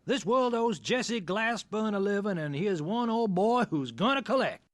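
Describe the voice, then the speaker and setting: Stubborn, high-pitched Southern country father. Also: lively intonation, moderate pace. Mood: determined. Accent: Southern US rural. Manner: folksy, plain-spoken, drawling rural grammar. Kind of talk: old-time radio drama acting.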